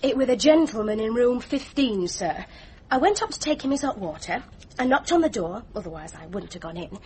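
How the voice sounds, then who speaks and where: Woman playing a lively young hotel maid. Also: on the high side, fairly quick, a little hiss or hum, lightly acted. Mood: eager, deferential. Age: young adult. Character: deferential, chatty young working-class hotel maid. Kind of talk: British radio mystery drama acting.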